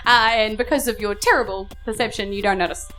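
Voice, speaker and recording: A woman's voice: medium pitch, fast, fairly bright tone. A woman running a live tabletop game as game master, narrating in a casual, playful way and often rephrasing as she improvises. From New Zealand. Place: live on stage at a club. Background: music under the voice.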